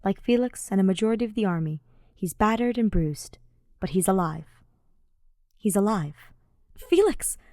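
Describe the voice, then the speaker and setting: A woman's voice: medium pitch, moderate pace, long pauses, darkish tone, no echo, studio quality. A woman reading fiction aloud as a scripted audiobook narrator. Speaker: podfic narrator.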